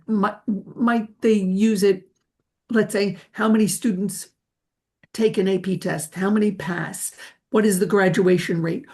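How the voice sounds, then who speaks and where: A woman presenting in a webinar on a video call. She sets out procedures in a steady, patient, informative way and answers questions as she goes. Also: medium pitch. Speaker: language testing presenter. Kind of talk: webinar explanation.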